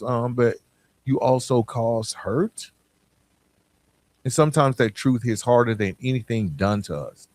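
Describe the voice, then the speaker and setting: A man's voice: medium pitch, no echo. A man hosting a podcast, giving a motivational talk straight to listeners in an emphatic, direct way, repeating phrases for weight. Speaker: podcast host.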